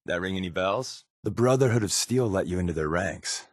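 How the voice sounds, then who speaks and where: A man voicing a wry, secretive spy companion in a video game, with casual, sardonic, deadpan quips. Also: low voice, a little fast, dry recording, clean studio sound. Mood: impressed, probing. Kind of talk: game companion dialogue lines.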